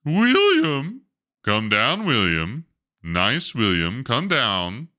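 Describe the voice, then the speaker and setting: Male reader as an exasperated storybook father, bright voice, big swoops of astonished dismay. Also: mid-range voice, wildly swooping pitch, very slow, voice pushed up, full character voice. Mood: urgent. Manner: astonished questioning, dramatic dismay.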